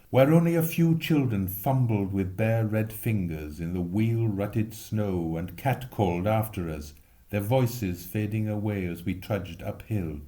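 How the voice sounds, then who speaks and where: A man reading a literary story expressively, with measured, lyrical phrasing. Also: on the low side, dark tone. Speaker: reader.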